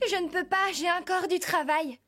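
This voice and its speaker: Very high, fretful cartoon girl. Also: normal speed, heavy background noise. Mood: worried. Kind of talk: French animated series dub acting.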